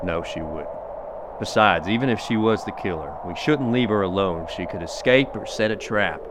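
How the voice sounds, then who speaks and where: A man's voice: on the low side, normal speed, noisy background, lightly acted. An actor playing a blunt, exasperated and sardonic company man in a 1930s-style radio mystery. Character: blunt, exasperated male company rival, protective and sarcastic. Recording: music behind the voice.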